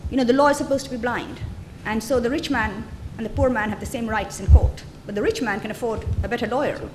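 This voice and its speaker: Woman guest lecturer, measured explanations, a bit high, echoey hall with clear noise. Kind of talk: answering audience questions.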